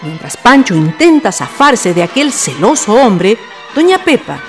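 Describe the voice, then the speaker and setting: A woman's voice: medium pitch, sing-song, measured pace, faint music behind. A woman reading scripted narration for a radio drama.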